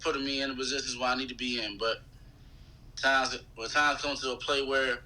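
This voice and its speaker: A man's voice: mid-range voice, little pitch movement, fairly quick, sharp tone, rough recording. An American man in his thirties talking casually as an interview guest, in a slangy, conversational way. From United States. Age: 30s. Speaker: guest, rapper.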